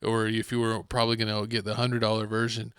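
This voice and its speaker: A man's voice: fairly low pitch, completely flat delivery, fast talker, fairly bright tone, clean tone, no echo, studio quality. A Texan man hosting a podcast, chatting casually and rambling about video games, sometimes acting out game scenarios. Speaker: podcast host. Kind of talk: casual sports chat.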